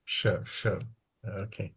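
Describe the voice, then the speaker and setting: A man from India leading an online training class, who keeps saying "okay" between phrases and coaxes quiet participants to speak. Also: low-pitched, level tone, takes it slowly, breathy edge. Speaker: instructor.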